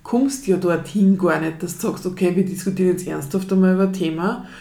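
A woman's voice: lowish voice, fast, vocal fry, warm tone. A woman hosting a podcast interview, asking open questions in a conversational way. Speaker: podcast host. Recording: roomy echo.

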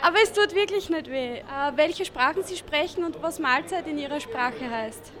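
A woman's voice: high voice, lively intonation. A woman teacher politely stops passersby on a town square to ask them questions for radio. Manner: polite, brisk street questioning. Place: outdoors on a busy town square. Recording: crowd noise on a busy square.

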